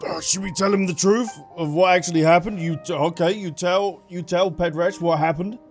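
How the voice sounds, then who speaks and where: A man's voice: high voice, steady tone, brisk. A man with a British accent giving chatty, playful gameplay commentary, reacting aloud as a streamer. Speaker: gaming streamer. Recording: game music behind the voice.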